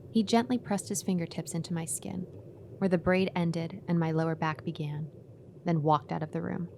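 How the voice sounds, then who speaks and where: An actress playing an uneasy, obedient woman who tells her eerie story in a measured way in a radio horror play. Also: lowish voice, a little mellow, slight background noise, close to an everyday voice. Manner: measured, first-person storytelling.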